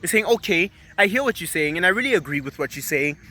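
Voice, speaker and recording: Bright-voiced animated young man. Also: high-pitched, fast talker. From South Africa. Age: 20s. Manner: animated, emphatic, persuasive, slangy. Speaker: vlogger. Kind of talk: casual motivational monologue.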